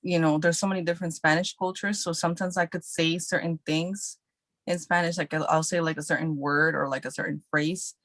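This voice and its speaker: A young woman talks in a loose, chatty way, her sentences full of filler likes. Her voice sits low for a woman, and the recording is dry and close with no room sound. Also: steady tone, brisk, a bit bright, dry recording. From New York City. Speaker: guest actress. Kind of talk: casual podcast chat.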